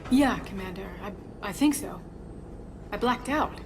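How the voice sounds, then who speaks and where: A woman's voice: medium pitch, expressive, noisy background, lightly acted. An actress playing an earnest, shaken female archaeologist in a video game, speaking in a hesitant, scholarly way. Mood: dazed, curious. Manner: hesitant, halting delivery. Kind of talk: cinematic video game dialogue.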